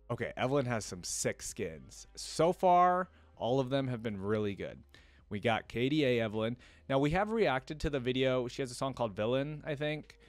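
A man's voice: medium pitch, dry recording. A young adult man giving casual, off-the-cuff reaction commentary as a video host, thinking aloud in slangy speech.

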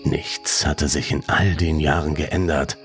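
A man reads a first-person horror tale in a very deep, creaky voice. He takes his time, measured and suspenseful, letting phrases sink into breathy hush. The delivery is slow and scripted. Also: fairly even intonation, soft background music. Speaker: horror story narrator.

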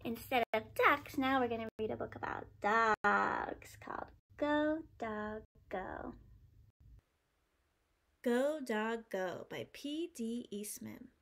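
A woman in her thirties with a fairly high voice leads a children's storytime. She speaks very slowly, with simple, clear phrasing suited to preschoolers, like a teacher reading a picture book aloud. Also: fairly bright tone.

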